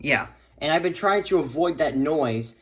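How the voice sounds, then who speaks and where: A man's voice: fairly high pitch, animated, normal speed, noisy background. A man in a casual, unscripted hobby vlog, rambling and stammering as he rants, stretching out words for emphasis. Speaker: hobbyist video creator showing a radio collection.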